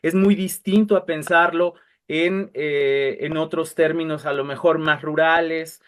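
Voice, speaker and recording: A man's voice: on the high side, fairly even intonation, unhurried, fairly bright tone, clear, resonant tone. A man explaining his work as a panel guest, hesitant and searching for his words. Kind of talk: explanatory conversation.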